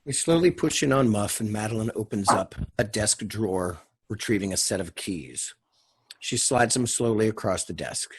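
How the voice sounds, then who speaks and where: Low, husky man narrating screenplay directions. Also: expressive, normal speed.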